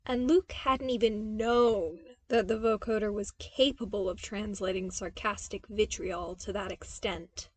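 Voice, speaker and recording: A woman narrates fiction in a medium-pitched voice. Her delivery is scripted and expressive, in the manner of an amateur audiobook reader. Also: unhurried, few pauses, husky. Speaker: podfic narrator.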